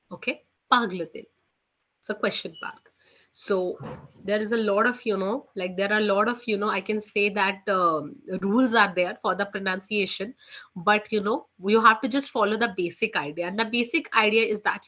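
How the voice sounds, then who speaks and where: A woman's voice: moderate pace, bright, forceful tone. A woman with an Indian English accent teaching a beginner French class online, often repeating "you know" and "okay" between phrases. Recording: muffled low-fidelity audio.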